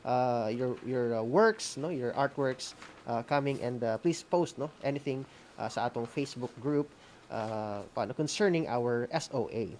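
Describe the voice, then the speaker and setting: A Filipino host with a Visayan accent speaks in a medium-pitched voice. The delivery is casual and informal, mixing languages and often ending phrases with a checking "no?", as in an online class. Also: moderate pace, a little mellow, slight background noise. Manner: frequent "no?" tags, informal. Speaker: host of a school-on-the-air program. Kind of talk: casual online class hosting, code-switching.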